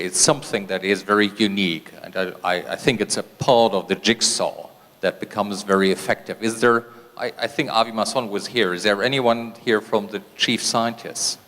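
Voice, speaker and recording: A man's voice: lowish voice, fairly bright tone. A man moderating a seminar panel without a script, hesitant and often repeating his words. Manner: hesitant, repeats words, many drawn-out pauses. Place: large seminar hall. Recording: reverberant seminar hall.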